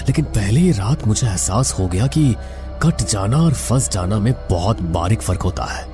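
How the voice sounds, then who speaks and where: Low-voiced man narrating horror over music. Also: expressive, normal speed. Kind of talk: scripted horror story narration.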